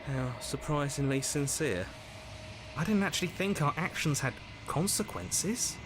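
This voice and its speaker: A man's voice: fairly high pitch, expressive, unhurried, a bit bright, slight background noise. A man voicing a worried, regretful inner voice in a visual novel game, speaking with emotive hesitation. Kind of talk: dramatic visual novel voice acting.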